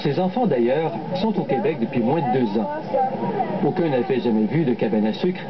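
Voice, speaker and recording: A man's voice: medium pitch, expressive, normal speed, very noisy. A man presenting and narrating a television report in a scripted style. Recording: dull lo-fi broadcast, guitar music behind.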